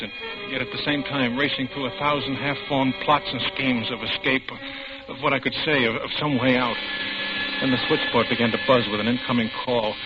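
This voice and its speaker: A man's voice: mid-range voice, natural voice. Guy acting nervous young office clerk, confessional old radio drama, medium voice, muffled with music blaring behind. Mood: dazed, haunted. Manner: earnest, tense, confessional. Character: anxious young law-office clerk recounting his downfall. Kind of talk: 1950s radio drama acting and first-person narration. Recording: muffled vintage radio, loud music bed.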